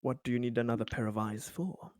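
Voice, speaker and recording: A man playing a cautious official in a tabletop roleplaying game, speaking formally and hesitantly as he deliberates. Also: medium pitch, darkish tone, dry recording, natural voice. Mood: wary, probing. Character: cautious, deliberating male official negotiating with an agent. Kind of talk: improvised tabletop roleplay dialogue.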